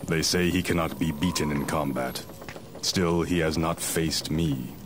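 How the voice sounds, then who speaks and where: An actor playing a grim masked ice ninja warrior in a video game cutscene, speaking in a grave, measured, cold way. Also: male voice, very deep voice, steady tone, background noise. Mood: stern.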